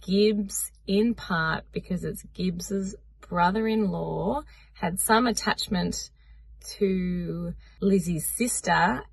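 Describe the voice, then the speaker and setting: Gravelly, husky woman recapping a book. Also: on the low side, no room sound. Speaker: book vlogger.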